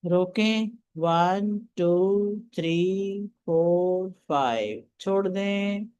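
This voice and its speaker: A man leading a guided meditation as a teacher, giving soothing, deliberate instructions. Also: high-pitched, darkish tone, smooth, dull audio. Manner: soothing, deliberate, counting out breaths and steps.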